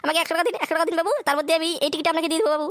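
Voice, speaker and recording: A man's voice: extremely high pitch, level tone, rapid-fire, fairly bright tone, no echo, studio quality. A man voicing a hungry, simple-minded village madman who begs pitifully and repeats himself in a comedy cartoon. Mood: begging. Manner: repetitive, pleading. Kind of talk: crude adult comedy cartoon dubbing.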